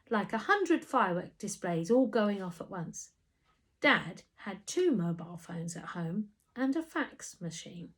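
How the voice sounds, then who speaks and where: Animated woman reading a children's story. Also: mid-range voice. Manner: animated, dramatic emphasis. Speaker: storybook reader. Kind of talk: expressive scripted children's story narration.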